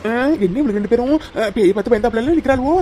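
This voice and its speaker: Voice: medium pitch, over music, close to an everyday voice. A performer voicing a brave, talkative middle-aged village woman. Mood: chatty. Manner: chatty, scolding, quick.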